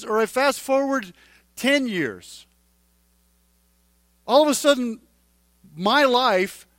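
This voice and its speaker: A man in his forties giving a conversational sermon as a pastor, halting and restarting phrases as he speaks straight to his congregation. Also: extremely high pitch, a bit bright, no echo. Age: 40s.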